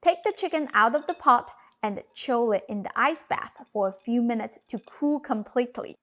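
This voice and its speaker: A woman in her thirties whose first language is Cantonese, narrating a cooking lesson with clear step-by-step instructions. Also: a little high, expressive, normal speed. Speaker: cooking channel host. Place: home kitchen.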